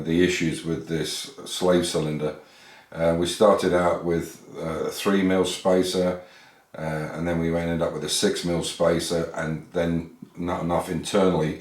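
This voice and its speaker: A man's voice: deep voice, reverberant. An English man hosting a casual car restoration vlog, rambling through his workshop with restarts and fillers. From England. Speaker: classic car restorer and channel host. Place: garage workshop.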